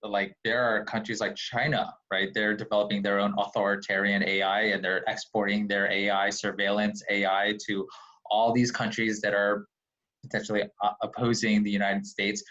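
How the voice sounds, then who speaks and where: A man's voice: fairly low pitch, little pitch movement, normal speed, bright, forceful tone, highs cut off. A man in his forties, a technologist and guest in a casual conversation, speaking in a blunt, urgent, emphatic way. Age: 40s.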